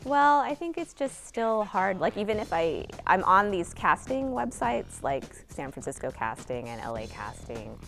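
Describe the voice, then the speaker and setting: A woman's voice: mid-range voice, very animated, a little slow, creaky, hardly any pauses, fairly bright tone, faint music behind. A middle-aged woman director from Seattle, speaking reflectively in a documentary interview with occasional laughs. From Seattle area, USA. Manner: reflective, laughs now and then while talking. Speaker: theater director.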